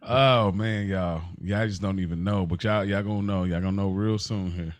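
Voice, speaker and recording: A man's voice: low voice, steady tone, normal speed, no room sound. A man co-hosting a podcast, talking casually with informal, slangy phrasing. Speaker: podcast co-host. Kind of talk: casual conversational podcast chat.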